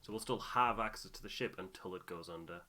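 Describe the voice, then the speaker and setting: A man's voice: sits low, fast talker, pushed and bright. A man giving casual playthrough commentary as co-host of a gaming video. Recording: background noise, keyboard and mouse clicks.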